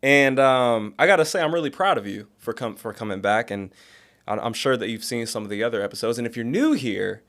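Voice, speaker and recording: A man's voice: medium pitch, expressive, brisk, fairly bright tone. A man hosting an interview podcast, friendly and encouraging, who gives short affirmations while his guest speaks. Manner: friendly, encouraging, small backchannels. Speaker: host. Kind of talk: casual interview conversation.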